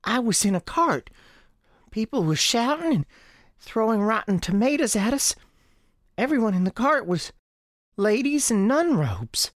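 Actress as earnest little Southern girl, lively pitch. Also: unhurried, long pauses, no echo, studio quality. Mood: troubled. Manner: earnest, childlike, polite. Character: lost young Southern girl, anxious, polite, earnest. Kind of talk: radio drama acting.

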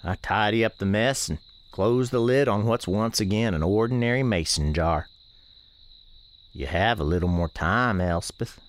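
A man narrating a radio play as a gentle country storekeeper, with folksy, colloquial phrasing. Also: fairly low pitch, moderate pace. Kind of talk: first-person storytelling in a radio play.

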